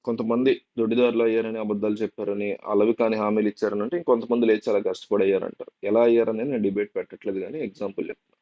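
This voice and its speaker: A man's voice: on the low side, steady tone, racing, no echo, highs cut off. A man giving informal political news commentary to viewers, explaining and repeating phrases for emphasis.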